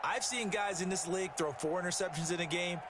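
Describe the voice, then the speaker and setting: A man's voice: high voice, fairly quick, close to an everyday voice. An American man giving conversational, explanatory color commentary in a football video game. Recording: loud stadium noise behind. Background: stadium crowd and game sounds.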